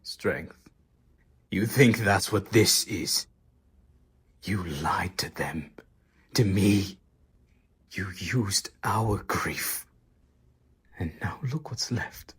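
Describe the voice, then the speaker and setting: A grim, grief-worn monster hunter of gothic audio drama acted by a man. His voice is low and heavily breathy, husky to the edge of a whisper. He speaks slowly and solemnly, weighing each word. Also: fairly bright tone, heavily acted. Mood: bitter, accusing. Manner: slow, solemn, deliberate.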